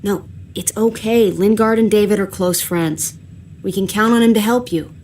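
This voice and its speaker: A woman's voice: mid-range voice, normal speed, darkish tone, slight background noise, natural voice. An actress playing a wry, pragmatic woman survivor who takes charge in a video game drama. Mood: concerned, practical.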